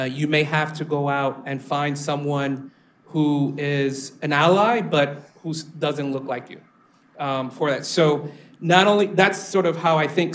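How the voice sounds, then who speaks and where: A man on a discussion panel, telling a reflective story about mentorship in a measured way. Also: on the high side. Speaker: panelist, venture capitalist. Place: event space with audience.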